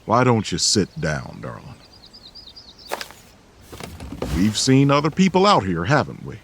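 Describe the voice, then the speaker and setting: A man acting a calm, weary, caring companion who speaks softly and coaxes others, in an audio drama. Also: fairly low pitch, normal speed, slight background noise. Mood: coaxing. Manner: soft, coaxing, gentle. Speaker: Silver.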